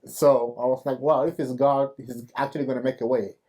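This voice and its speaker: A man tells his personal faith story as a show host. His voice sits at a middle pitch. His telling is earnest and halting, with fillers and restarts as he feels his way through the memory. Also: fairly even intonation, darkish tone. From Cameroon.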